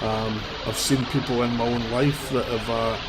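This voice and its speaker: A man's voice: medium pitch, level tone, normal speed. A man with a cold, sniffling a little, giving blunt, rambling live commentary while out on a city street on a handheld phone. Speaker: livestreamer. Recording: loud street traffic behind. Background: traffic and vehicles.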